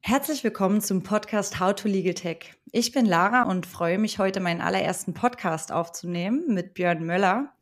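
A woman's voice: mid-range voice, moderate pace, hardly any pauses. A woman hosting a podcast, reading a careful introduction and asking questions with an occasional filler. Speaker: podcast host.

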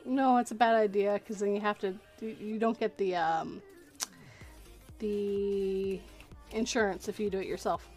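A woman's voice: medium pitch, steady tone, unhurried, darkish tone, faint music behind. A woman with a Canadian accent chatting casually and jokingly on a livestream.

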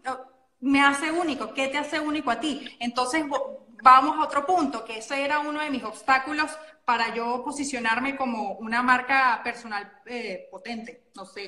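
A Venezuelan woman, a guest coach and model, talking in a chatty, animated way and often correcting herself mid-sentence. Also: high-pitched, normal speed, echoey room. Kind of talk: casual interview chat.

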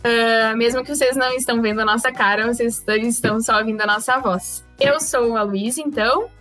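A 19-year-old woman with a southern Brazilian gaúcho accent hosting a casual podcast, talkative and loud. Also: fairly high pitch, a little fast, soft background music. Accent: southern Brazilian gaúcho Portuguese. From Rio Grande do Sul, Brazil. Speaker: podcast host.

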